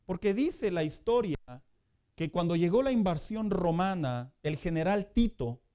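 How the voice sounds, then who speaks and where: A man preaching a sermon as pastor in a conversational way, building to loud emphatic peaks. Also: sits high, lively intonation, a little slow.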